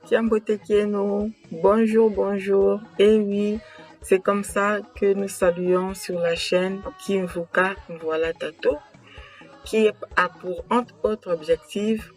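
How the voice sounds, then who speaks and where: A woman's voice: medium pitch, a little mellow. A middle-aged woman from Congo, addressing the camera in an earnest, emphatic way and repeating words as she searches for them. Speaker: association founder presenting her channel. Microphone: camera mic, speaking straight to camera.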